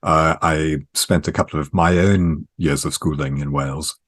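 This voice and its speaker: A man's voice: rumbling low, fairly even intonation, moderate pace, a bit bright, dry recording, studio quality. A middle-aged or older man, an academic, speaking politely as a guest in a podcast interview.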